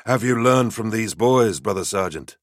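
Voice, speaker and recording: A man's voice: on the low side, animated, moderate pace, no echo, clean studio sound, voice pushed up, close to an everyday voice. A man voicing a wry older warrior medic who pointedly needles his sergeant, in an audiobook story. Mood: dry.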